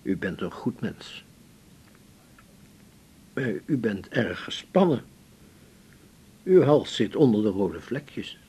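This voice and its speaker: An actor playing a frail, gentle dying patient in a radio drama, speaking haltingly in a breathy, fading, pleading voice. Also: male voice, on the low side, sing-song, exaggerated voice. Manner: halting, slow, softly pleading. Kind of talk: naturalistic 1980s radio drama.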